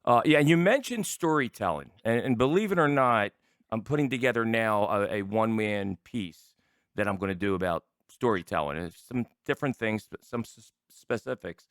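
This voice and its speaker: An older male host with a very animated voice that swings up and down, recorded close and dry. He chats casually and rambles his way into questions. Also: lowish voice, moderate pace, clean tone, no room sound. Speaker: interview show host. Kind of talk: casual chat interview.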